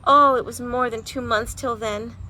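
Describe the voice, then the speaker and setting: A woman's voice: on the high side, fairly even intonation, normal speed, bright, forceful tone, pitched above the performer's own voice. Woman reading as an earnest little schoolgirl, noisy recording. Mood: fretful. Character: young Japanese schoolgirl, earnest, wistful, scheming about her clogs.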